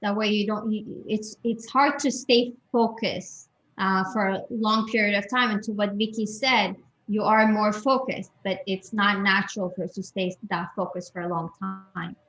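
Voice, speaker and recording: A woman's voice: mid-range voice, fairly even intonation, moderate pace, a bit bright, highs cut off. A woman from Europe, a guest expert giving advice in a panel talk, with a strong voice and many restarts and repeated words.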